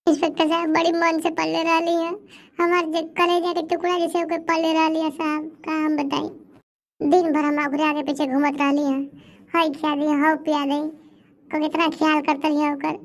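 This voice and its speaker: Very high-pitched melodramatic Bhojpuri village housewife. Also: female voice, flat delivery. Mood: tearful. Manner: melodramatic, wailing, drawn-out lamenting. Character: dramatic, doting village housewife grieving her lost buffalo. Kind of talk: comedy cartoon voice acting.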